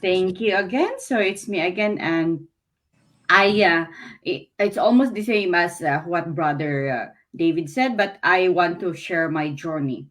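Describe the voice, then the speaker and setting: A woman's voice: fairly low pitch, lively intonation, a little slow. Earnest Filipino woman, hesitant speech. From Philippines. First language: Filipino language. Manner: hesitant, many fillers, earnest. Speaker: business owner sharing experience. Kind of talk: casual testimonial talk. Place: Canada.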